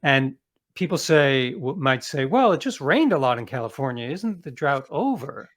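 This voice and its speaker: American guy being interviewed, earnest, kinda halting, rewords stuff as he talks it through. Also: medium pitch, sing-song, a bit bright. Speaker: author, interview guest. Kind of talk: conversational interview explanation.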